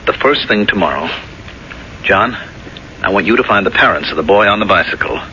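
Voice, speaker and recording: Radio drama husband: loyal, resolute, lowish male voice, dull noisy vintage broadcast sound. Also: lively intonation, a little fast, pushed and bright. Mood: calm, resolute. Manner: earnest, reassuring, resolute. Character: steadfast, loving husband, principled professional man facing disgrace. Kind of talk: 1970s radio drama acting.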